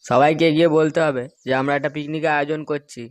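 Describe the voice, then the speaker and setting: A man's voice: on the high side, fairly even intonation, quick pace, over loud music, close to an everyday voice. Man voicing a crude young loafer. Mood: lazy, bossy. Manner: crude, slangy. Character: foul-mouthed young club loafer organising a neighbourhood picnic. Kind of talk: crude comedy cartoon dub.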